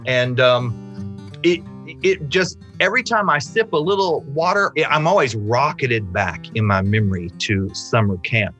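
A middle-aged man from the southern United States on a video call from his home office, telling anecdotes with expressive, deliberate emphasis. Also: mid-range voice. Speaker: storyteller, interview guest. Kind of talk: anecdotal storytelling in conversation. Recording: video call with music behind.

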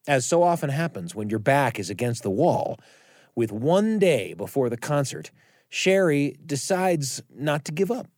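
A man hosts and narrates a true story in a scripted storytelling manner. His delivery rises and falls expressively, with slow dramatic pauses. The recording is close and dry, with no room sound. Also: medium pitch, very animated, darkish tone, no echo. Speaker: host narrator.

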